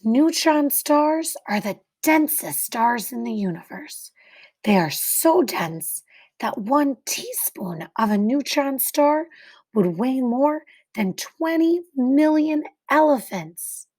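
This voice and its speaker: A woman reading a children's picture book aloud like a teacher, with deliberate, expressive phrasing and dramatic pauses. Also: sits high.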